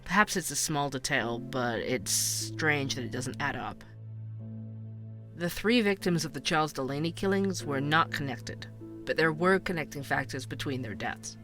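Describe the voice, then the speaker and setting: A true-crime podcast host, with a slightly high male voice. He narrates a scripted audio drama in a measured, inquisitive way, as if digging into a case, with faint music behind him. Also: pushed and bright.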